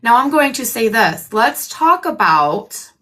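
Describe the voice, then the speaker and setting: A woman's voice: fairly high pitch, very animated, slow pace, fairly bright tone. A woman hosting her own livestream, talking bluntly and defiantly with plenty of swearing. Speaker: livestream host. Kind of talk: casual livestream chat.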